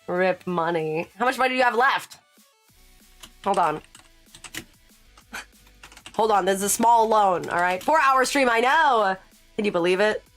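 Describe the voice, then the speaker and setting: A woman's voice: mid-range voice, animated, unhurried, pauses often, a bit bright. A young woman in her late twenties talks casually with her stream chat in a chatty, rambling way. She breaks off into laughing asides. Faint music plays under her voice. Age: late 20s. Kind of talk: casual live-stream chat while working.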